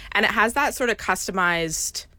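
A woman's voice: mid-range voice, expressive, moderate pace, sharp tone, no echo. A young woman from Canada with a Canadian accent, a guest in a radio studio, talking casually with lots of "like" fillers. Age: young adult. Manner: chatty, many "like" fillers. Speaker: guest business owner.

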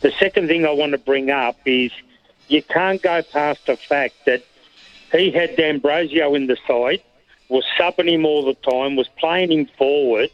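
A man's voice: a little high, dry recording. Australian man, talkback caller griping about a coach, muffled dry line. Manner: critical, hurried. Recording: dry dull phone line.

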